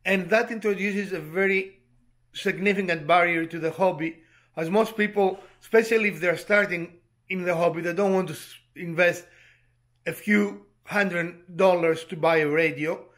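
A man in his fifties giving an explanatory hobby tutorial to camera, speaking in measured, halting phrases and repeating words. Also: high-pitched, fairly even intonation, a bit bright. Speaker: presenter of a DIY hobby channel.

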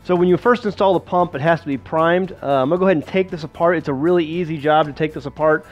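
A man's voice: a little high, quick pace, soft background music. Plain-spoken man, slightly dull home recording. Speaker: homesteading host demonstrating a pump. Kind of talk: casual how-to demonstration. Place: home kitchen.

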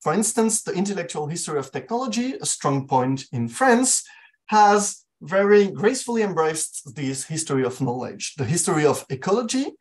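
A man's voice: fairly high pitch, very animated, normal speed. A man giving an academic conference talk over a video call from a home study, hesitating and restarting often. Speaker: conference speaker.

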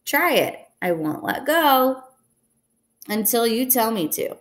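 A woman's voice: a little high, very animated, slow. A woman voicing a patient mother turtle in a storybook, coaxing and reassuring.

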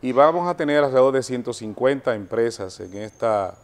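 Dominican male spokesman, 40s, calm measured delivery with hesitations, average pitch. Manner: measured, soft-spoken, with fillers. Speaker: chamber of commerce representative. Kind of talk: formal guest interview. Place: TV studio set. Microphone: lapel mic.

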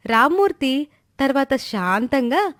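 A woman's voice: high-pitched, big pitch swings, measured pace, fairly bright tone, no echo, studio quality. A woman narrator reading a scripted moral story in a storytelling style.

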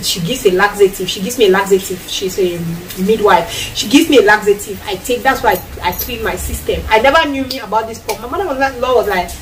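Cameroonian lady chatting as she cooks in her kitchen, bit distant from the mic, faint hum behind. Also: mid-range voice. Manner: animated, lots of hand gestures and acted-out quotes. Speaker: vlogger. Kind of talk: casual chat while cooking. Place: small tiled home kitchen. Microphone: camera a few feet away.

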